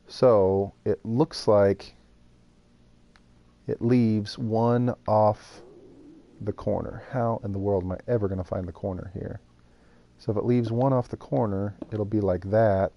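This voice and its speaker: Guy livestreaming a game, kinda low mellow voice, talks slow, chatting with his viewers. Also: fairly even intonation. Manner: thinks aloud while building, talks to chat. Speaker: streamer. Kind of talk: casual live gameplay chat.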